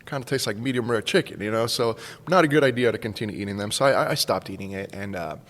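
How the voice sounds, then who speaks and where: A man's voice: on the low side, fast talker, fairly bright tone, big-room sound. A man in his forties from Cuba, casually telling a personal story as a podcast guest. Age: 40s. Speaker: school staff member, podcast guest.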